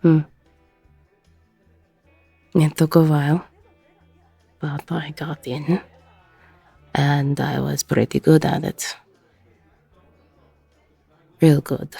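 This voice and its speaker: A woman playing a weary, protective middle-aged ex-con bar owner in an intimate audio roleplay, with a husky, gravelly voice. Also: low voice, level tone, drawn-out words, pauses often, warm tone, no room sound, faint music behind, heavily acted. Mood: regretful, confiding.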